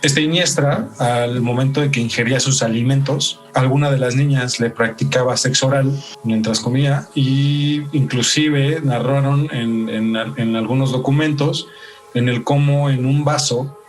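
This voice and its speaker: A man's voice: mid-range voice, fairly even intonation, moderate pace. Distant-miked Mexican male podcast host. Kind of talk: conversational true-crime podcast hosting. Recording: off-mic, music behind.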